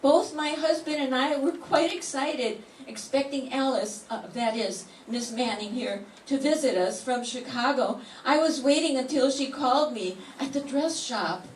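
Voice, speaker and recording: A woman's voice: sits high, a little slow, hardly any pauses. An older woman reading the part of the dead man's young wife in an old-time radio mystery, earnest and insistent. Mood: earnest, defensive. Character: flustered young wife of the murdered man. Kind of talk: 1940s radio mystery acting. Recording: echoey room, distant mic, faint hiss.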